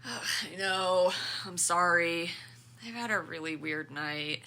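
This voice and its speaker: Woman acting a deep-voiced, guarded teenage girl. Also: slow pace, pushed and bright, full character voice. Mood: weary, guarded. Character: moody, guarded, tired teenage schoolgirl. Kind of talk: dramatic comics reading.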